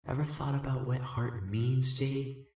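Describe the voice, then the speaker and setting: A roomy, slightly distant recording of a man acting as a cool cat-like sprite in a podcast drama. The low voice takes its time, stretching words out playfully and tenderly. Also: little pitch movement, warm tone, natural voice. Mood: tender, teasing. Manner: slow, drawn-out cat puns. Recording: roomy echo, away from the mic.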